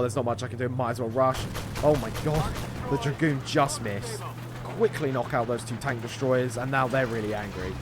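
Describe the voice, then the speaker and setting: A man's voice: medium pitch, background noise. A man giving running play-by-play commentary on his own gameplay in short bursts, as the host of a gaming video.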